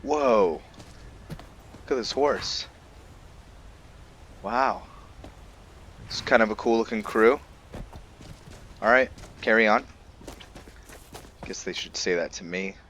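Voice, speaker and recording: A man's voice: fairly low pitch, slow, long pauses, a bit bright, slight background noise. A man giving casual commentary as he plays a video game, musing aloud in a laid-back way. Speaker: host of a video game roleplay series. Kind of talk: casual let's-play commentary.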